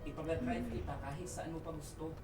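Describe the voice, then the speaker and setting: A man playing an earnest, gentle young boyfriend in a Filipino radio drama. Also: on the high side, level tone, quick pace, natural voice. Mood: tender, reassuring. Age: young adult. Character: devoted, gentle young boyfriend and dutiful nephew. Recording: radio drama with music behind.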